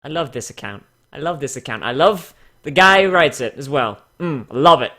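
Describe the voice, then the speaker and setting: A man hosting casual, mocking commentary and dramatic readings, crude, exclamatory and quick to swear. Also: a little high, expressive, sharp tone. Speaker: host reading fan characters aloud.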